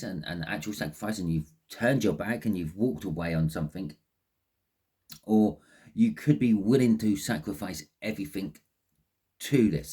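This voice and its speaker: A man with a very low, dark voice reads tarot cards in a loose, rambling way. His throat sounds phlegmy and husky. He repeats words and restarts his sentences often. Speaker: tarot reader. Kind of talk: casual tarot reading monologue.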